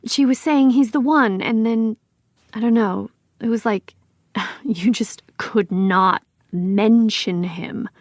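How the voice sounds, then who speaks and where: Missing girl's best friend, bitter n protective, halting casual interview talk, dry close sound. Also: a little high, dry recording. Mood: accusing, bitter. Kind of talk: acted documentary interview, conversational.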